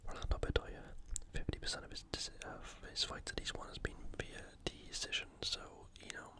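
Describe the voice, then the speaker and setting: A speaker from the UK giving ASMR sports commentary in a soft, unvoiced whisper, halting now and then while thinking aloud. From United Kingdom. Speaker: ASMR host previewing fights.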